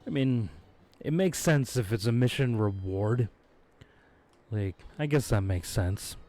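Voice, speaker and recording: A man streaming a video game, hoarse from losing his voice, chatting in a laid-back, rambling way with frequent chuckles, close on his microphone. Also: mid-range voice, lively intonation, a little slow, dark tone. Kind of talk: casual live-stream chat. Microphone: close desk microphone.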